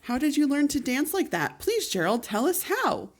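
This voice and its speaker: A woman doing a loud chorus of jungle animals. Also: high voice, big pitch swings, normal speed, pitched above the performer's own voice. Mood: amazed. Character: crowd of jeering jungle animals.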